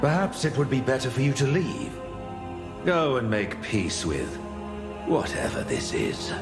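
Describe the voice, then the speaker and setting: A man's voice: mid-range voice, huge pitch swings, a little slow. A man playing a cold, haughty king in a dramatic game story, pompous and imperious, with echo and music. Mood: cold, dismissive. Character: haughty, cold king addressing his seneschal. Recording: echoey, loud music underneath.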